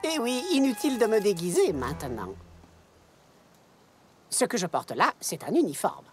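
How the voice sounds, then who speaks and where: A woman voicing a smooth-talking pony salesperson with a conscience, expressive and confiding, in a French-dubbed children's cartoon. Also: mid-range voice, moderate pace, faint music behind. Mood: pleased.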